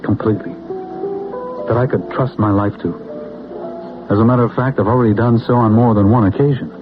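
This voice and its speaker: A muffled old radio drama with music plays, and in it a man acts out an intense American political zealot with a low voice. He is sure of his destiny and presses his case with grandiose, persuasive weight and deliberate pauses. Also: steady tone, natural voice. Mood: persuasive, fervent.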